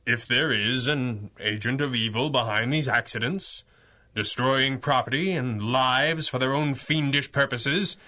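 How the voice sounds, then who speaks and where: A man's voice: medium pitch, a little slow, sharp tone. A man playing a stern, dashing masked crimefighter in 1930s-style radio adventure drama, with clipped, formal, dramatic delivery. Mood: grimly righteous.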